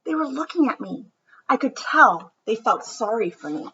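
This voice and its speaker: A woman reading a picture book aloud as a storyteller for children, with expressive narration and deliberate, dramatic phrasing that drops into hushed softness. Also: fairly high pitch, dull audio, a little hiss or hum.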